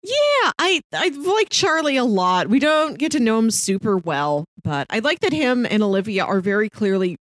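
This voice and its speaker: A woman's voice: a little high, very animated, moderate pace, few pauses, dry recording, studio quality. A woman co-hosting a podcast, chatting casually as she reviews a show. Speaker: podcast co-host.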